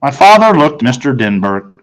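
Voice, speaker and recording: A man's voice: mid-range voice, big pitch swings, normal speed, rough recording. Male teacher, mid-range voice, for read-aloud storybook narration.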